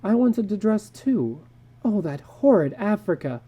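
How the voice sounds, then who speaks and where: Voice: mid-range voice, very animated, measured pace, husky, muffled recording, pitched above the performer's own voice. A performer voicing an anxious wife and mother who pleads and coaxes. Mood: uneasy, sighing. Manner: soft-hearted coaxing, sighing.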